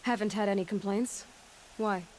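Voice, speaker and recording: An actress playing a blunt, guarded young huntress in a video game, terse and matter-of-fact. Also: female voice, medium pitch, fairly even intonation, normal speed, a little hiss or hum, close to an everyday voice. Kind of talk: video game dramatic acting. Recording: loud music over the dialogue.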